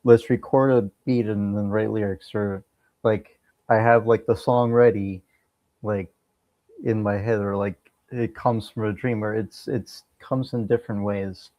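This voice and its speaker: A man answering as a guest in a casual interview, halting and often repeating words mid-sentence. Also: fairly low pitch, fairly even intonation, mellow, no room sound. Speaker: interview guest, amateur musician.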